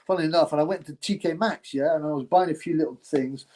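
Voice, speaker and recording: A man's voice: fairly high pitch, fast, dark tone. Rambling man chatting boxing live. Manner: rambling, laughs often, frequent fillers. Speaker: boxing channel host reading chat. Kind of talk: casual live-stream chat.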